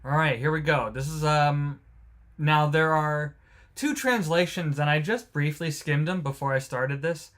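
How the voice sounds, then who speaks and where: A man's voice: fairly high pitch, unhurried, fairly bright tone. A man hosting a live watch-along, chatting casually with viewers, joking and greeting them by name. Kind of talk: casual live-stream commentary.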